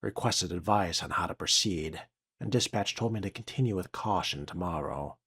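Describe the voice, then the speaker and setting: A man with a low, husky voice narrates a video game in character. He speaks in a measured, formal way with a dry, musing tone, as if thinking aloud or reading from a document. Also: fairly bright tone, no room sound. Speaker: player role-playing a video game.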